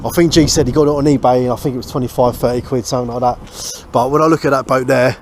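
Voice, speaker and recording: A British man talks through a DIY job in an informal, think-aloud way, like a host chatting to viewers. His voice sits at an average male pitch. Clear ambient noise with a vehicle-like rumble runs behind him on a small boat. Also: steady tone, fast talker. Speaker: host of a boat restoration vlog. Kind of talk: casual hands-on vlog commentary. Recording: noisy ambient sound, engine-like rumble.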